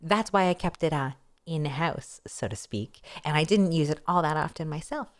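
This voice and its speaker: A woman narrating a first-person story aloud in an expressive, playful style, with wry casual asides. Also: sits low, normal speed. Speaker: streamer reading a novel aloud.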